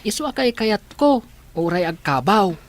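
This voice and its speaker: A woman's voice: mid-range voice, sing-song, moderate pace, close to an everyday voice. A woman with a Filipino accent playing a cheeky, affectionate young village boy in a radio comedy drama. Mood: thoughtful.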